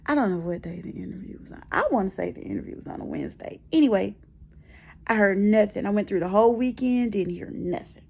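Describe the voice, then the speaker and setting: A woman's voice: medium pitch, expressive, quick pace, vocal fry, darkish tone. A middle-aged American woman chatting casually in a personal vlog, telling her story expressively and repeating phrases for emphasis. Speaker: personal vlogger. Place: bedroom at home.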